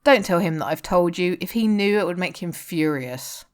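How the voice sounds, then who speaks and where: A woman's voice: lowish voice, animated, moderate pace, natural voice. A woman voicing a blunt, teasing but caring male rock singer in story dialogue. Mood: reassuring. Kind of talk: audiobook-style character dialogue.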